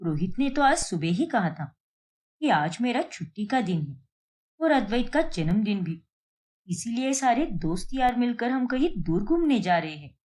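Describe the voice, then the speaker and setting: Aggrieved young wife, voiced by a woman for cartoon drama. Highish, very expressive, sarcastic and complaining. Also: moderate pace. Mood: puzzled. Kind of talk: Hindi cartoon moral-story voice acting.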